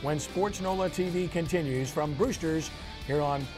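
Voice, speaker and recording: Male sports show host, slightly high voice. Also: normal speed, over music. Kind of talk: conversational sports show hosting.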